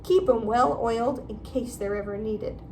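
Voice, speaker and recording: A woman's voice: on the high side, normal speed, a little mellow, slight background noise, lightly acted. A woman voicing a nasty, gleeful old male school caretaker in a storybook. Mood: gloating menace. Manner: leering, menacing.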